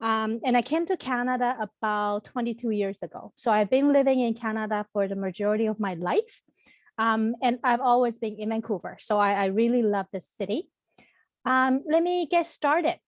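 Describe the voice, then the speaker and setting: A woman's voice: on the high side, moderate pace, dry recording. A woman from Taiwan giving an online information presentation to prospective students, speaking in a friendly, explanatory way with frequent ums and restarts. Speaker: college representative presenting to students. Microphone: computer mic on a video call.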